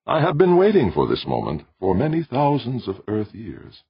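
A man voicing an ancient, calm, self-aware alien machine in a sweet tenor, with measured, formal, unemotional speech for an audiobook story. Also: on the low side, gravelly, breathy edge, no room sound, lo-fi sound, pitched above the performer's own voice, full character voice. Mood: calm, solemn.

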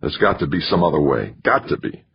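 A man voicing a big, stubborn male senate candidate who speaks in a quietly intense, muttering way. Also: very deep voice, sing-song, a little fast, creaky, husky, full character voice. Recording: dull lo-fi recording.

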